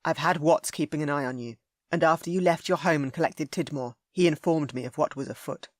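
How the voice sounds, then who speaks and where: A woman's voice: low-pitched, brisk, no room sound, studio quality, lightly acted. A woman voicing a forthright young duke, blunt and earnest.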